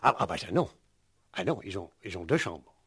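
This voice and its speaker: A man's voice: sits low, very animated, normal speed, a bit bright, husky, no echo, studio quality, full character voice. A man playing a wary, discreet hotel porter in a 1960s French radio play, stammering and repeating himself colloquially. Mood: flustered, cautious. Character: wary, flustered male hotel porter, discreet but gossipy.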